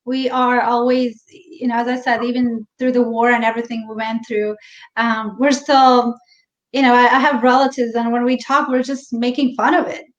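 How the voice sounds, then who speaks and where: A woman with a Bosnian accent, chatting warmly as a guest on a live stream and laughing easily. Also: on the high side, level tone, normal speed. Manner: warm, chatty, laughs easily, restarts sentences. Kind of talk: casual interview chat over a live stream. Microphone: video call. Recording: echoey, dull lo-fi live stream audio.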